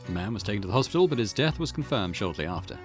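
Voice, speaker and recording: A man reading as a formal news reporter in a visual novel, measured and matter-of-fact. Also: fairly low pitch, very animated, faint music behind. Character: formal male television news reader.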